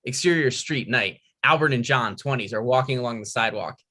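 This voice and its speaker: A man's voice: medium pitch, a little fast, pushed and bright. A man narrating stage directions, reading a screenplay aloud at a table read.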